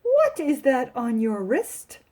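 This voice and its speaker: A woman voicing a fretting, coaxing mother in a children's storybook, with emphatic, drawn-out delivery. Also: sits high, wildly swooping pitch, warm tone, heavily acted. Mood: concerned.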